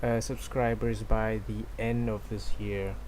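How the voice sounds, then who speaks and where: A man's voice: fairly low pitch, flat delivery, a little slow, a little hiss or hum. A man livestreaming a video game, chatting casually and playfully with viewers. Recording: loud game music behind the voice. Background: video game music.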